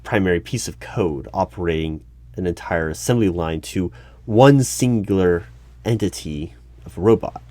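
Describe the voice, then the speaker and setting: Man playing a deep-voiced, stammering bureau hiring manager. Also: darkish tone, close to an everyday voice. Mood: puzzled, probing. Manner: halting, stammering, formal, over-polite. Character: awkward, officious male hiring manager of a secretive bureau. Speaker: hiring manager in a one-sided roleplay. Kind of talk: ASMR audio roleplay interview. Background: computer keyboard typing.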